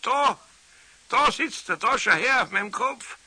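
A man playing a long-suffering, good-natured old craftsman with a Bavarian accent and a husky, groaning delivery in a children's radio play. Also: extremely high pitch, lively intonation, measured pace, pushed and bright, exaggerated voice. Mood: wry. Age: older. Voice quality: husky, creaky. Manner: groaning, sighing.

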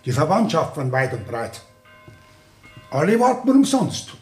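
Flustered Bavarian village priest, echoey room. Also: male voice, on the high side, huge pitch swings, unhurried, darkish tone, soft background music. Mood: perplexed, fretting. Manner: dithering, perplexed. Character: flustered, helpless village priest, thirty years in office. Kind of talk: 1960s German radio play acting.

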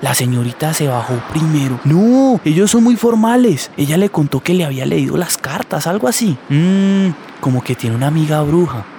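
A man plays a talkative, obliging local in a radio soap. He speaks emphatically in a fairly high voice, with faint ambient noise. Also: lively intonation, moderate pace, few pauses, warm tone.

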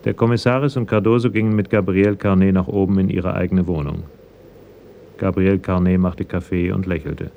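A man's voice: low voice, steady tone, normal speed, gravelly, narrow, tinny audio, a little hiss or hum. A man narrating a scripted radio crime drama in a matter-of-fact manner.

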